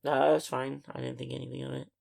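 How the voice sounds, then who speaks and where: A man co-hosting a podcast in casual film discussion, speaking in loose, halting, unfussy phrases. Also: medium pitch, little pitch movement, vocal fry, a little mellow. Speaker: co-host of a horror film review podcast.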